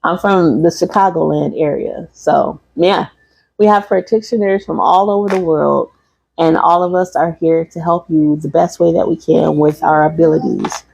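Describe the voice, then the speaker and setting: A woman's voice: low-pitched, steady tone, moderate pace, creaky, a little mellow, a little hiss or hum, poor audio. A woman from Michigan, a holistic practitioner, wrapping up her livestream class in a rambling, repeating way and talking to viewers. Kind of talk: casual livestream class wrap-up.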